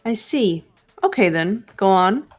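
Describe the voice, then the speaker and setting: A woman voicing a calm young female investigator who questions others in measured tones. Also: medium pitch, faint music behind. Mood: probing. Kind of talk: fan voice acting of a game.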